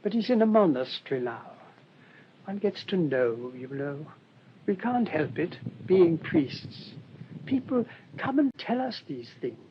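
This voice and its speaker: Humble english priest, gentle n rambly, highish voice, old-radio muffled sound w/ rumble. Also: male voice, big pitch swings, a little slow. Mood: mildly explaining. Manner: gentle, rambling, politely hesitant. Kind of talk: radio drama acting. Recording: dull lo-fi radio recording, rumbling noise.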